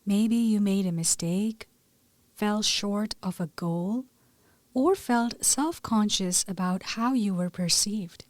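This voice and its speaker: A woman leading a gentle scripted guided meditation in a soothing, measured way, her soft voice turning breathy at phrase ends. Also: mid-range voice, no echo.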